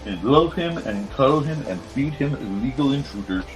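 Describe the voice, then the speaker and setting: A man with a slightly croaky, strained voice chatting casually and goofily, half to himself, as he hosts a drawing stream. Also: mid-range voice, animated, normal speed, over music, rough recording. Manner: goofy, rambling, half talking to himself. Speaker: cartoonist hosting a live art show. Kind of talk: casual chat while drawing.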